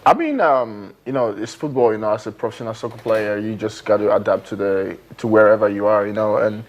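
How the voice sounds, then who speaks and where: A man in his twenties from Nigeria, a footballer being interviewed in a TV studio, who talks in halting phrases full of fillers and restarts. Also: lowish voice. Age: 20s. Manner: hesitant, many fillers and restarts. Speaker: guest footballer.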